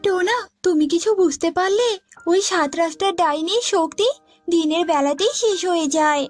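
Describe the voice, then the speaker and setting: Lady doing a tiny brave cartoon girl bird, really high voice, earnest and determined, soft music under it. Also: normal speed. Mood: earnest concern. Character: small brave female tailorbird, protective and resolute. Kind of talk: cartoon voice acting.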